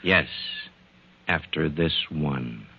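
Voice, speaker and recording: An actor playing a stern, menacing boss in a 1940s radio mystery, firm, commanding and insistent. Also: male voice, very deep voice, extremely slow, husky, heavily acted. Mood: curt, businesslike. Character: stern, menacing boss pressuring a reluctant subordinate. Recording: narrow-band scratchy 1940s radio recording.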